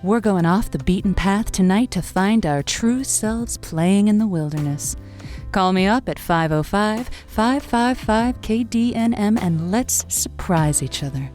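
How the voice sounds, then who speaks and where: A woman's voice: medium pitch, animated, unhurried, darkish tone, natural voice. Actress for audio drama: female late-night call-in host, playful and musing, theatrical phrasing, some music behind. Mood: intimate, musing. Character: warm, evasive female late-night radio love advice host.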